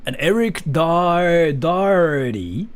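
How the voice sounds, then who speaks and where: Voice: medium pitch, lively intonation, very slow, smooth. A native Japanese speaker with a Japanese accent, chatting casually with livestream viewers as the host in a self-deprecating, apologetic way.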